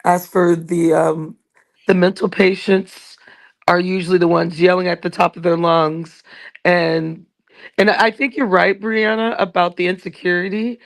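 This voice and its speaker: A woman's voice: on the low side, flat delivery, measured pace. A woman calling into a casual chat, talking hesitantly with many fillers. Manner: hesitant, filler-heavy talk.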